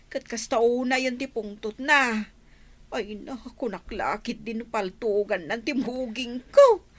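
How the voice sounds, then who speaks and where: A woman's voice: on the high side, a little slow, a bit bright. A woman playing a frightened, grovelling housemaid who stammers and whines in a radio drama. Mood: fearful, wailing. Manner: stammering, whining. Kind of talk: melodramatic radio drama acting.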